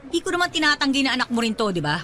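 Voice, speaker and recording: High, bright voice of an actress as a distraught daughter. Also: female voice, very animated, very fast, full character voice. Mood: cold, irritated. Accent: Filipino. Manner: emotional, pleading, emphatic. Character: distraught pregnant tomboy daughter, defiant and ashamed. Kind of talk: Filipino radio-style drama acting.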